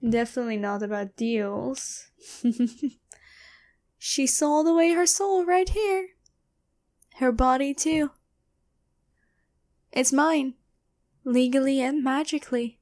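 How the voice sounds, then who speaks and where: A woman's voice: high voice, darkish tone. A woman voicing a taunting female demon in a possessed girlfriend's body, teasing and coolly bargaining in an ASMR fantasy roleplay. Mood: smug, cold.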